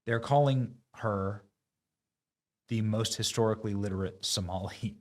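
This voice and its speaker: A man's voice: fairly low pitch, a little slow. A man hosting online political commentary, talking in a mocking, sarcastic and emphatic way.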